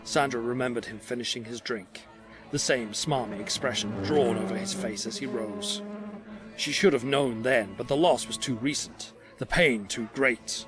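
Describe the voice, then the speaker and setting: A man's voice: mid-range voice, moderate pace, over music. A man giving dramatic scripted narration for an audio drama.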